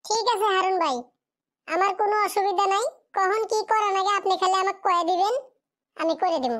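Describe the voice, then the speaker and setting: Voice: extremely high pitch, fairly even intonation, fairly quick, fairly bright tone. A performer voicing a poor, fatherless schoolboy in a cartoon drama, pleading and plaintive in a rural Bengali dialect. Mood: resigned.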